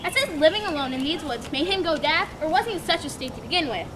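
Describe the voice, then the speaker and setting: A woman's voice: sits high, lively intonation, quick pace. A woman playing a proper, judgmental young woman visitor in a homeschool dramatic reading, haughty and indignant. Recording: loud background noise.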